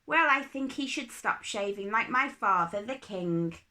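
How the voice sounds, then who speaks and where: A woman voicing an imperious, boastful little princess in a children's story, with a haughty, brisk, emphatic manner. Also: mid-range voice, animated, pushed and bright. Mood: airy, superior.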